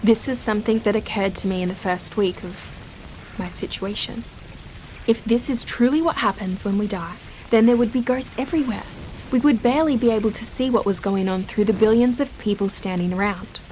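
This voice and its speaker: An actress playing a calm, enigmatic female ghost who reasons matter-of-factly in a scripted audio drama. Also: mid-range voice, brisk, a little hiss or hum, natural voice, rough recording. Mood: calm reasoning.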